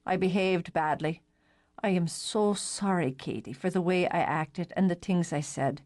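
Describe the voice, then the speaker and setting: A woman's voice: fairly low pitch, a little slow, a little mellow, close to an everyday voice. A woman voicing a blunt, hot-tempered young woman in a storybook reading, close to her own voice. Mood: remorseful.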